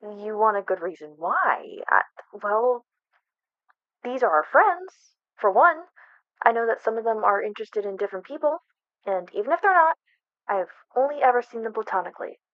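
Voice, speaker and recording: A woman's voice: a little high, expressive, moderate pace, dry recording, highs cut off, lightly acted. A young woman acting in an ASMR roleplay as a flustered best friend hiding a crush, speaking casually with hesitant stammers. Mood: exasperated, reluctant. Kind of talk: ASMR roleplay, one-sided conversational acting.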